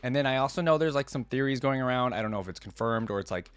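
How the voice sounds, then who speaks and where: A man's voice: medium pitch, fast talker, dry recording, soft background music. A man hosting a reaction video, talking casually and reading aloud in a conversational way, as if thinking aloud.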